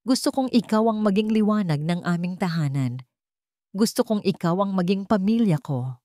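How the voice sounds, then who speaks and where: A woman narrator voicing a tender, earnest widowed father in love, pleading softly in an audio romance story. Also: medium pitch, lively intonation, moderate pace, no room sound, studio quality, close to an everyday voice.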